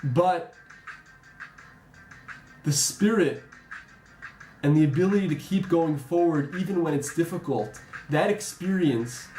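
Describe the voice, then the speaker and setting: A young American man who served as a soldier, speaking in a measured, reflective way with some ums. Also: fairly high pitch, warm tone, reverberant, faint music behind, poor audio. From United States. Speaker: former soldier interviewee. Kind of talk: earnest interview testimony.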